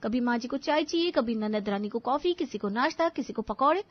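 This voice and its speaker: High female voice, acted, young sharp-tongued daughter-in-law, emphatic complaining, cartoon drama, dull lo-fi sound. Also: expressive, brisk. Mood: exasperated. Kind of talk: animated family story acting.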